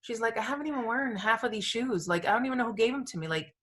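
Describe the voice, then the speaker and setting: A woman's voice: fairly high pitch, expressive, quick pace, fairly bright tone. A woman co-hosting a podcast, chatting casually and emphatically in an informal, gushing way peppered with "like" and "you know". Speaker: podcast co-host.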